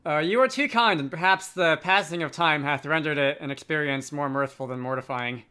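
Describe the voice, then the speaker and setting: A man's voice: fairly high pitch, fairly quick, bright, forceful tone, clean tone. A man voicing a courtly, self-effacing old knight in a game, with formal archaic phrasing. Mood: humble, courtly.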